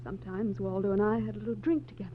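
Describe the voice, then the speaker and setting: A woman's voice: mid-range voice, fairly even intonation, very fast, close to an everyday voice. An actress playing a wistful, secretive married woman in a 1940s radio detective drama, speaking earnestly and a little hesitantly. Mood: wistful confession. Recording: tinny, noisy, humming 1940s radio recording.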